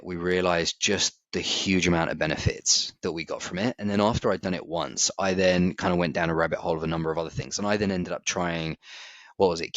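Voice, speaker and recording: A man's voice: deep voice, a bit bright, no echo. A man, talking candidly and reflectively as a podcast co-host, laughing easily. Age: 40s. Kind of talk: casual chat. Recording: dry, slightly dull audio.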